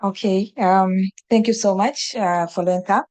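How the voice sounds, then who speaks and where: A woman's voice: medium pitch, fairly even intonation, unhurried, a little mellow, dry recording. A young woman from Tanzania, an NGO director and teacher, answering on a panel with many fillers and restarts.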